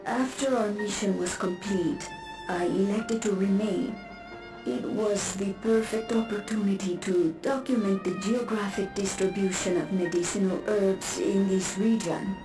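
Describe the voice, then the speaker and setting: A distant, echoing voice of an actress plays a weary woman scholar-soldier in a video game. She confides her thoughts slowly and haltingly, in formal, reflective phrases, with music behind her. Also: medium pitch, fairly even intonation, close to an everyday voice. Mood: sombre, hesitant. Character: weary, hesitant woman scholar-soldier recording a private confession. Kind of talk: voiced game dialogue, spoken audio diary.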